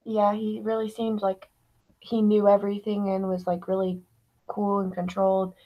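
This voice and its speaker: A woman's voice: medium pitch, completely flat delivery, moderate pace, lo-fi sound. A teenage girl chatting casually as a podcast guest, peppering her talk with "like". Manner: uses "like" constantly. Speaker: student actor, podcast guest. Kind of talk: casual chat.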